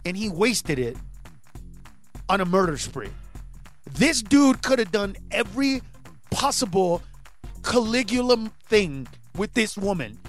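Male stand-up comic in his 40s, born in Honduras, interview guest; fairly high voice, lively, shouts for punchlines. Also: a little slow, pauses often, faint music behind. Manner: animated, rising to shouts when acting out bits. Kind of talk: casual interview chat.

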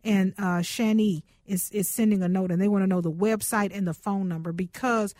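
A woman's voice: medium pitch, steady tone, moderate pace, a little mellow, no echo. A woman radio host, chatty and personal, interviewing a guest in a studio. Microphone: studio microphone.

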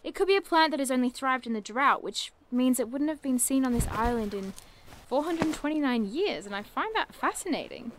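Bookish woman investigator, high voice, earnest, nature enthusiast, dryly playful, naturalistic audio drama. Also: lively intonation, brisk, noisy background. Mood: playfully insistent.

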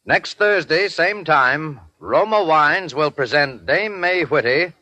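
A man's voice: fairly high pitch, animated, slow, sharp tone, no room sound. An American man announcing a 1940s radio drama show.

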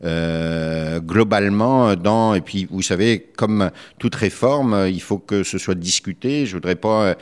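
A middle-aged man with a low voice and an accent from France. He answers like a politician in an interview, choosing his phrases carefully and pausing often as he searches for the next one. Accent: French from France. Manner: measured, hesitant, careful phrasing. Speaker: politician, member of the Quebec legislature.

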